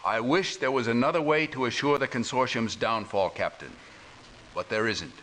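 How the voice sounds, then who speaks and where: Bright, forceful resistance commander played by a man. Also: mid-range voice, moderate pace, close to an everyday voice, poor audio. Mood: serious, resolute. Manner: clipped, formal military briefing. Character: grave, urgent male resistance commander giving mission briefings. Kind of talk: video game cutscene acting. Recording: old game audio, faint noise.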